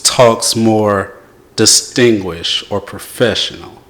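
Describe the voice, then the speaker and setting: Slow-talking male podcast host. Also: on the low side, fairly bright tone. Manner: unhurried, loose, with frequent fillers. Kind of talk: casual chat.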